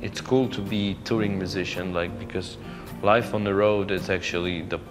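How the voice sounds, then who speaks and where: Ukrainian-accented man, halting English. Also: deep voice, fairly even intonation, over music. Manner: halting, searching for words. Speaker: touring singer-songwriter. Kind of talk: casual interview in English. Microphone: studio microphone.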